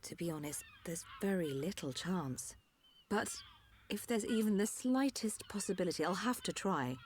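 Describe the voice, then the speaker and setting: Woman doing a technical engineer type, low voice, measured, faint hiss. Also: lively intonation, darkish tone, natural voice. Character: careful woman engineer-doctor, candid.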